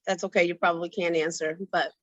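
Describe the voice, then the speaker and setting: A woman with a raspy voice hosting an online event, speaking in a courteous, organised announcing manner. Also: on the low side, flat delivery, quick pace, no room sound. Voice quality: raspy this evening. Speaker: host of an online symposium. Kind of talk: event introduction and hosting on a video call. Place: indoors on a video call. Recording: dull video-call audio.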